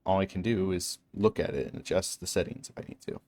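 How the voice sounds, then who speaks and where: A man's voice: low-pitched, fast talker, vocal fry, a little mellow, slight background noise. A man narrating an instructional game walkthrough in a matter-of-fact, explanatory way. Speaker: tutorial narrator.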